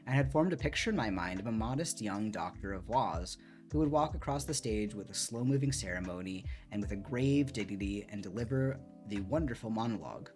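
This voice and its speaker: A man's voice: lowish voice, lively intonation, a little fast. A man reading a book aloud expressively on a stream, with casual commentary, close to the microphone. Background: soft music.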